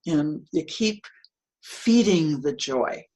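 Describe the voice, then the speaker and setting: An older woman with a low, earthy voice, talking as a guest in an interview, reflective and given to small laughs and restarts. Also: expressive, slow pace, darkish tone. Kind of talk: casual interview conversation.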